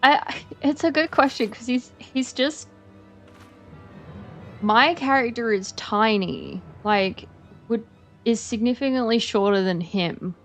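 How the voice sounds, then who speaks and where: A woman's voice: a little high, slow pace, soft background music. A young Australian woman chatting casually while streaming a game, rambling with lots of fillers.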